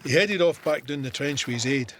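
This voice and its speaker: A male actor as a Scottish soldier telling his war story in a radio drama. His voice sits a little high for a man, measured and plain-spoken, with some noise behind him. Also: a bit bright, lightly acted. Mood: warm, reflective. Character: soldier Sandy recounting his Gallipoli service, plain-spoken and vivid. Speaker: narrator. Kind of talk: scripted first-person war memoir narration.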